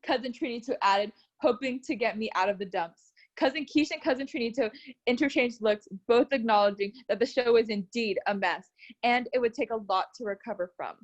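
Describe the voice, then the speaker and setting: Female storyteller, higher-pitched, earnest and dramatic, narrating a scripted tale aloud. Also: fairly quick, lo-fi sound.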